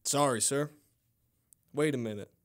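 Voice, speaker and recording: A man's voice: mid-range voice, unhurried, no room sound, clean studio sound, lightly acted. A man playing an eager, dim, obedient junior police officer in an audio drama, deferential. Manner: deferential, parroting. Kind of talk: audio theater acting.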